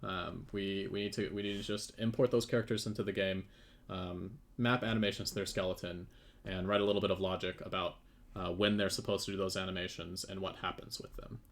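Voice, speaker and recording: A man's voice: low voice, fairly even intonation, fairly quick, vocal fry. A man presenting his game development work in a casual live stream, hesitant and thinking aloud as he works. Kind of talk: casual live stream chat while demonstrating.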